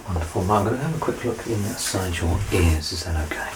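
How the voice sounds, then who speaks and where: A man playing a doctor in an ASMR medical exam roleplay, soft-spoken and reassuring, with gentle teasing and close whispered passages. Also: low voice, quick pace, noisy background. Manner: slips into unvoiced whispering up close.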